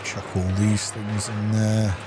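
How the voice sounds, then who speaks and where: A man's voice: fairly low pitch, monotone, slow, music in the background. A man giving casual commentary as he plays a video game, half talking to himself. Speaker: gameplay streamer. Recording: clearly noisy background.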